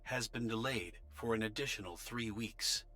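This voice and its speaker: A businesslike male military operator in an anime game. His voice is fairly low with a sharp, bright edge. He delivers formal briefings like official orders. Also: normal speed.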